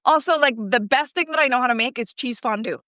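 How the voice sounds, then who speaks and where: A woman in her thirties chats casually about herself, slipping in lots of filler words. Her voice sits a little high and sounds smooth. The recording is dry and close. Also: quick pace, bright, forceful tone, no echo. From Colombia, raised in Ecuador. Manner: chatty, self-deprecating, many "like" fillers. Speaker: guest. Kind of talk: casual chat.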